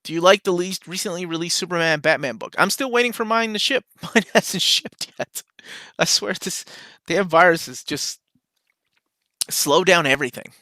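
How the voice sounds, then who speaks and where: A man from Peru hosting a relaxed live Q&A about comics. He reads out viewer questions and answers them in an easygoing, enthusiastic way. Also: high voice, moderate pace, dry recording, clean studio sound. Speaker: comics channel host. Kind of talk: casual live Q&A chat. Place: home room.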